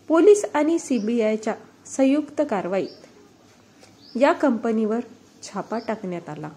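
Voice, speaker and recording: Bright-toned lively woman storyteller, mellowed edge. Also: a little high. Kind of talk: scripted narration of a moral story.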